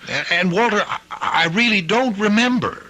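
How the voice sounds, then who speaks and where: A man's voice: high voice, animated, moderate pace, sharp tone, heavily acted. A male actor playing a frail, forgetful old professor who stammers and hesitates, in an old-time radio drama. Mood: flustered, pleading. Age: older.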